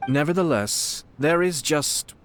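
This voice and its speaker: An actor playing a shrewd, courteous noble clan lord in a video game, with measured, diplomatic, eloquent speech. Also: male voice, on the high side, expressive, a bit bright, a little hiss or hum. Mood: gently probing. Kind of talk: video game dialogue acting.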